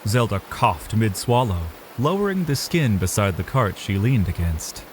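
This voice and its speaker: Low, animated male storyteller. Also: moderate pace, slight background noise. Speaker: narrator. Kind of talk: dramatic scripted storytelling narration. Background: music.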